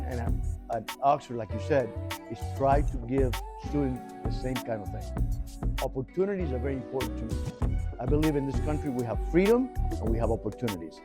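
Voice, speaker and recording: A middle-aged man speaks at a plain middle pitch, proud and emphatic, restarting the odd phrase as he answers. A music bed plays clearly behind him. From Cuba. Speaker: engineering teacher interviewed. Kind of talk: earnest interview answers.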